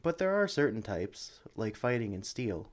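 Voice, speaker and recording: Man playing an excitable, lively-pitched sanctuary employee. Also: moderate pace. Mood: instructive, friendly. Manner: chatty, eager, apologetic, with hesitations. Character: excitable, friendly young male sanctuary employee training a newcomer. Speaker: experienced employee showing a new recruit around. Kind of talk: ASMR roleplay, one-sided conversation with the listener.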